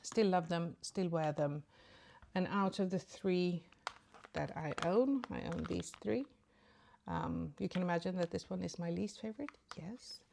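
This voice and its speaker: Low, gravelly woman chatting makeup. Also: measured pace, a little mellow, a little hiss or hum. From Sweden. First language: Swedish. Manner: rambling and chatty, many ums. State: sleepy in the early morning. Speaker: beauty vlogger. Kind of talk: casual makeup tutorial chat.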